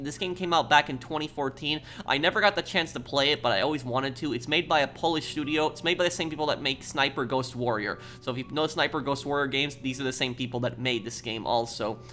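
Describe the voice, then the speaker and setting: A man's voice: medium pitch, brisk, few pauses, bright, forceful tone, slight background noise. A man from the United States speaking casually and earnestly as a gaming YouTuber, explaining things as he introduces a playthrough. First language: English.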